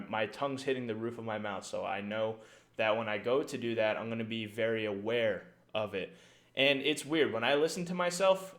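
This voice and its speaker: A man talks through casting tips on a stream in a loose, rambling way. He fills gaps with "uh" and checks in with "right?". His pitch sits in an ordinary middle range. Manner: rambling, frequent fillers and "right?". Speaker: esports caster giving tips. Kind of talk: casual livestream talk.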